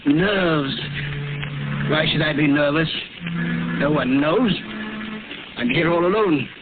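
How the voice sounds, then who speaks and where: A man's voice: a little high, slow, background noise. An actor playing a bitter, scheming murderous male city chemist who mutters and gloats to himself, in 1940s radio acting, on a tinny old broadcast. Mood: gloating.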